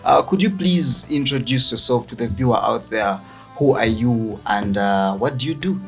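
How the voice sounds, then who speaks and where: A man hosts an interview, asking questions politely and murmuring along as his guest talks. His voice sits at an ordinary pitch for a man. Soft music plays faintly behind him. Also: normal speed. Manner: polite, many "mm" acknowledgements. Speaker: talk show host. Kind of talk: interview hosting.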